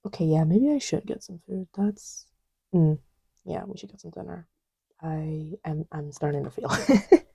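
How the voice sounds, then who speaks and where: A woman acts out a playful young girlfriend in an intimate audio roleplay. Her voice is very dark and mellow, with a breathy softness. She murmurs haltingly and unhurriedly, teasing while half absorbed in what she is doing, and sounds close and dry. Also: fairly low pitch, dry recording, exaggerated voice. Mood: soft, fond.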